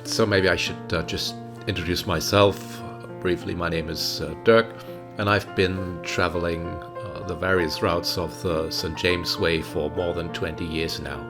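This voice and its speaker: Deep-voiced German man in his fifties. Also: steady tone. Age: 50s. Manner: chatty, pausing with many uh and um fillers. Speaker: travel vlogger. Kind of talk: casual travel vlog narration. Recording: voice with music playing underneath.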